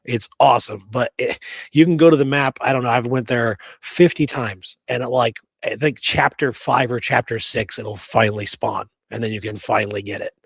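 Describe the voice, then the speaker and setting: A man's voice: medium pitch, moderate pace, creaky, slightly rough, dry recording. A man chatting casually and enthusiastically as a co-host, peppering his talk with slangy, friendly words. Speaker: co-host of a history talk show. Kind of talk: casual chat.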